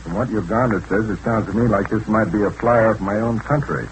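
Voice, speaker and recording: A man's voice: fairly low pitch, a little fast, natural voice. A level-headed adventure hero played by a man. He sounds plain and sensible, a radio serial leader, with faint noise on the recording. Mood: reasonable. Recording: noisy old radio drama recording.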